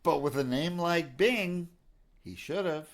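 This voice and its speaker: A man's voice: fairly high pitch, slow. A middle-aged man from New York co-hosting a casual podcast, joking and playful as he talks about music. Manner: jokey, playful, breaks into humming. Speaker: podcast co-host, pianist and songwriter.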